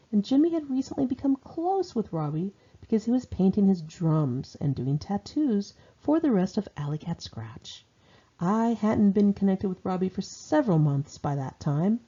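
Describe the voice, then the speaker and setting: A woman's voice: mid-range voice, sing-song, moderate pace, warm tone, slightly rough. A woman reading her own memoir and diary entries aloud as informal audiobook narration, in a wry, sardonic, conversational way, on a dull lo-fi home recording.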